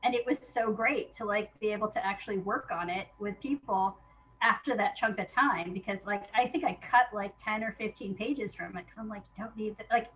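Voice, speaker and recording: A woman's voice: medium pitch, a little fast, a bit bright, a little hiss or hum, poor audio. A woman guest chatting casually in an interview, thinking aloud in an informal, hesitant way. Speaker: guest playwright.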